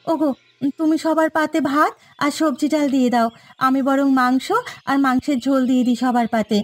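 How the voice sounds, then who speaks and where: A woman's voice: sits high, fairly even intonation, brisk, close to an everyday voice. A woman voicing an earnest young village mother in a cartoon, polite and pleading.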